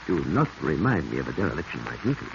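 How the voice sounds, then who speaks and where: Courtly low-voiced foreign official, noisy old radio. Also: male voice, very animated, racing, gravelly, full character voice. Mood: gallant. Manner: formal, flowery, courteous phrasing. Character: courtly foreign museum official, gallant Egyptology observer. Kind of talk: 1940s radio acting. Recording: muffled, very noisy vintage radio recording.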